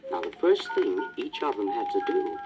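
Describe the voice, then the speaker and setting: A man's voice: lowish voice, big pitch swings, normal speed. A man narrating a scripted children's storybook as a storyteller. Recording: old record with music behind.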